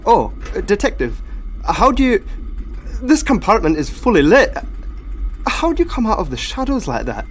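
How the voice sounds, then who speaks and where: A man's voice: high voice, huge pitch swings, normal speed. An actor playing a jumpy, superstitious submarine crewman in an audio drama. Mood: startled. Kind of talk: full-cast audio drama acting.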